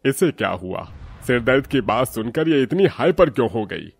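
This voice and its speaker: A man's voice: mid-range voice, very animated, moderate pace, creaky, husky, no echo, exaggerated voice. A man playing an older father-in-law in a cartoon family moral story. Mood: puzzled. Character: older, puzzled father-in-law, head of household.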